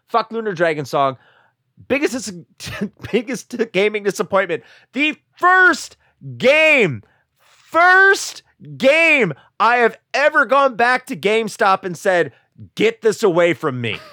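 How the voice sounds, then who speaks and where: A profane man ranting on a gaming podcast, his voice leaping high and swinging widely as he works himself up. The tone is bright and the sound dry and close. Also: no echo. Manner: profane, ranting, loud bursts. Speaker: podcast co-host. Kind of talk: ranting casual podcast chat.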